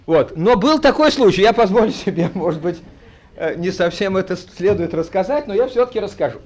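A man speaking to an audience in an anecdotal, theatrical way, with halting, digressive phrasing. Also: sits high, expressive, a little mellow. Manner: theatrical storytelling, acting out dialogue. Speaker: theatre colleague giving a reminiscence.

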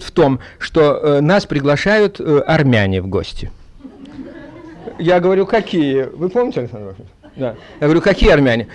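A man speaking to an audience in an anecdotal, theatrical way, with halting, digressive phrasing. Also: a little high, darkish tone. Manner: theatrical storytelling, acting out dialogue. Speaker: theatre colleague giving a reminiscence.

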